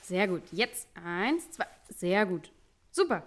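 A woman's voice: medium pitch, big pitch swings, slow. A woman care worker chatting casually and coaxing in a playful way. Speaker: care worker in a nursing home. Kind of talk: casual chat while helping residents.

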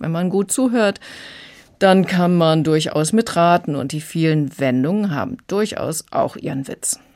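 A woman's voice: deep voice, darkish tone. A woman working as an audiobook critic, explaining emphatically in a radio review conversation. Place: radio studio.

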